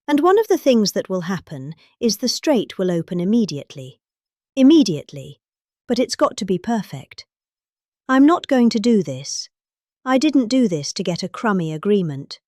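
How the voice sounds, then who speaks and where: Pompous middle-aged British woman, lively pitch. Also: no echo, clean studio sound. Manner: pompous, with pointed pauses and emphasis. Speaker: comic reader. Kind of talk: deadpan dramatic reading.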